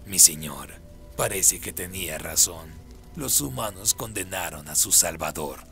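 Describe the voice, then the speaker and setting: Man playing low, servile demon. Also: animated, unhurried, gravelly, pushed and bright, faint music behind, heavily acted. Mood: servile, curious. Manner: deferential, questioning. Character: deferential male demon servant of Lucifer. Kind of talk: radio drama acting.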